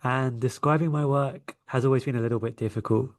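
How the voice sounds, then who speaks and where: A man's voice: mid-range voice, fairly even intonation, a little fast. A man from London with a London English accent, telling stories conversationally as an interview guest. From London, England. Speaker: interview guest, coach and energy healer.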